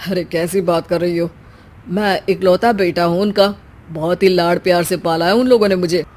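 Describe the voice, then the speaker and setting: A woman voicing a young village man who moves to the big city, in an animated story. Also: on the low side, moderate pace, pitched below the performer's own voice, natural voice. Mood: earnest.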